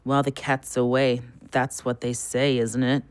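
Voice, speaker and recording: An actor playing a jealous, bitter, hard-drinking husband in an audio drama, with a taunting, sarcastic manner. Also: male voice, medium pitch, little pitch movement, moderate pace, smooth, no room sound, close to an everyday voice.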